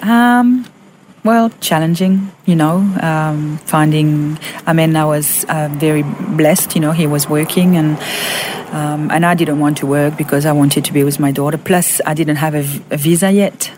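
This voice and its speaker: A woman in her fifties from Monaco with a French accent, telling her personal story in an interview, halting with fillers and restarts. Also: deep voice, steady tone, a little mellow, slight background noise. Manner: halting, many fillers and restarts, laughs often. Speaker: guest.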